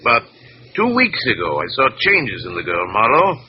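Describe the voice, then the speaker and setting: A man's voice: mid-range voice, huge pitch swings, normal speed. An actor playing a huge, demanding, worried businessman in an old radio drama, speaking in an imperious, irritable, formal way. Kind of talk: 1940s-50s American radio drama acting. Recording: dull, noisy vintage radio recording.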